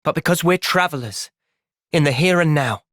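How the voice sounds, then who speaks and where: A young man playing an earnest, thoughtful young soldier hero in a fantasy video game's cutscenes, with expressive delivery. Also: a little high, moderate pace, no room sound, studio quality, close to an everyday voice. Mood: calm, thoughtful. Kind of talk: video game cutscene acting.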